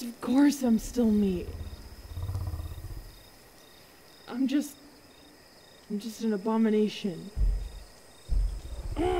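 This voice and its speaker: A woman playing a huge, self-loathing young werewolf girlfriend in an ASMR roleplay, halting and emotional, sometimes dropping to a whisper. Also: high voice, darkish tone, a little hiss or hum, low-quality recording. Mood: panicked shame. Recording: cricket and night ambience behind.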